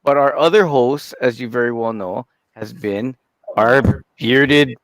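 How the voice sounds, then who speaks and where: A man's voice: medium pitch, a little slow, no room sound, poor audio. A man who heads the organization, chatting casually on a live talk show, cracking jokes and restarting his sentences with fillers. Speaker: head of the organization. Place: live broadcast studio.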